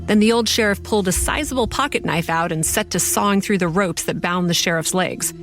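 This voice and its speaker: A woman's voice: medium pitch, fairly quick, a bit bright, soft background music, lightly acted. A woman playing a haunted, sardonic young campground manager, telling her horror story in a confiding, wry first person. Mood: wry, tense.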